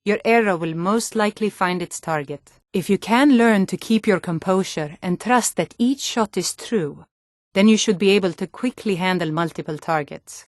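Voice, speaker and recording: A woman's voice: medium pitch, no room sound, lightly acted. Actress as a guarded woman archer, dry close sound. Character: guarded, self-reliant young woman archer living in the wilds. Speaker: archery instructor. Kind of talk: video game character dialogue.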